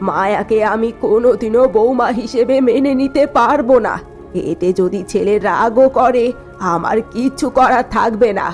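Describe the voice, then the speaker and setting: A woman's voice: fairly high pitch, normal speed, darkish tone, soft background music, lightly acted. A woman playing a scornful, domineering mother-in-law. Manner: sneering, complaining. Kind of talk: cartoon voice acting.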